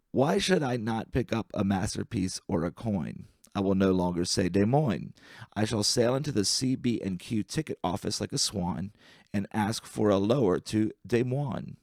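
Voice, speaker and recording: A man reading humorous poetry aloud as an amateur, with a deliberate, wry delivery and careful enunciation. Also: on the low side, gravelly, a little mellow, dry recording, studio quality.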